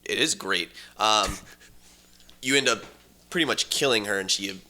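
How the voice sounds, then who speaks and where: A man with a fairly low, bright and forceful voice, talking casually as a podcast co-host. He explains in a halting way, assembling his thoughts as he goes. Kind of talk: casual chat, explaining game plots.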